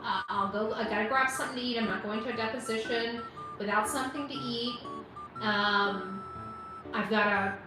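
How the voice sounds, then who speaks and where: A woman's voice: on the high side, moderate pace, far from the mic. A woman hosting a casual livestream, rambling informally with exasperated asides. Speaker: livestream host. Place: indoors, hotel. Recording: very echoey room, away from mic, music behind.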